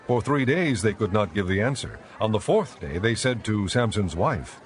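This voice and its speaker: A man narrating scripture for a dramatized audiobook in a measured, solemn, scripted style. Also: on the low side, expressive.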